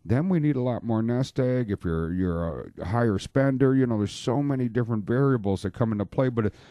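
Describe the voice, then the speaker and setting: A man's voice: medium pitch, fairly quick, no echo, dull audio. A man hosting a radio talk show about money, chatty and joking, with lots of fillers. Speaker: radio show host, financial planner. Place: radio studio. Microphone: studio microphone.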